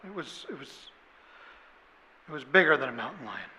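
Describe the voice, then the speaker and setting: An actor playing a defensive, agitated murder suspect in an audio drama, halting and stammering as he is questioned. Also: medium pitch, big pitch swings, bright, forceful tone, long reverb tail, rough recording. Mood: defensive, flustered. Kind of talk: audio drama interrogation acting.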